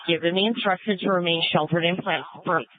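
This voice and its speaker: An American woman giving measured police instructions over a radio. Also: lowish voice, fairly even intonation, no room sound. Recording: narrowband dry radio scanner audio.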